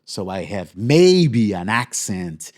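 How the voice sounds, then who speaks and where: A middle-aged Brazilian man whose native language is Portuguese, co-hosting a podcast in English with a Brazilian accent and a measured, explanatory way of talking. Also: fairly low pitch, big pitch swings, a little mellow. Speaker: podcast co-host. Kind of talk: casual podcast conversation.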